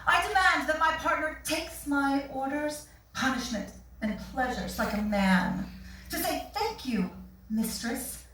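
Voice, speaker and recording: Domineering, bright-voiced female executive acted by a woman. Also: high voice. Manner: commanding, clipped. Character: domineering, blunt female corporate executive on a date. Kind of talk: staged play reading. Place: small theatre, staged reading. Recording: echoey theatre stage recorded from far off.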